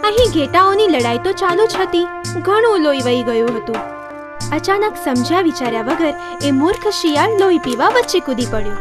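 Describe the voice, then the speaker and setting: A woman reading a scripted children's story as narrator, in an expressive storytelling manner. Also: sits high, moderate pace, over music.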